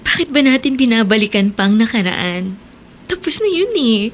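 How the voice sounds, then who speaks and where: A Filipino woman acting in a radio drama as a gentle, hesitant former sweetheart. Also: a little high, sing-song, normal speed, slight background noise. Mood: gentle, wistful.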